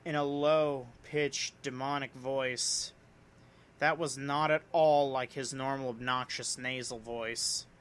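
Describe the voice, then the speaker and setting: Bright-voiced man reading horror dramatically. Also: medium pitch, fairly even intonation, slow pace, clean tone. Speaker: narrator reading a horror story. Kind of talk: dramatic scripted horror narration.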